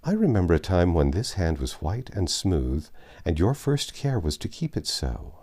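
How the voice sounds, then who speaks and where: A man voicing a proud, tender middle-aged father in a storybook reading, speaking in a measured, fond, fatherly way. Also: deep voice, wildly swooping pitch, dark tone, full character voice.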